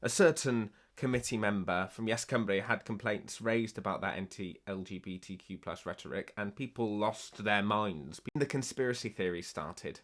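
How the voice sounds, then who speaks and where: A man's voice: fairly low pitch, moderate pace, few pauses, a bit bright. A man, speaking as an activist in a documentary interview, emphatic, frustrated and blunt.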